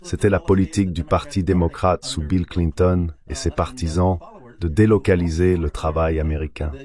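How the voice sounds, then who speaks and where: A man with a very low voice delivers a translated interview voice-over. He speaks in a formal, measured way, reading out economic analysis as an expert guest would. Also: a little mellow.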